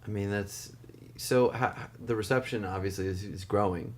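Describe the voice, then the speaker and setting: A man's voice: on the low side, normal speed, a little hiss or hum. A man chatting casually as an interviewer in a studio conversation.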